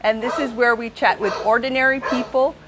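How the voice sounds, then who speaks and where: A woman's voice: a little high, steady tone, fairly quick, background noise. A woman hosting an interview at a guest's home, upbeat and friendly, asking questions. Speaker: interviewer and host.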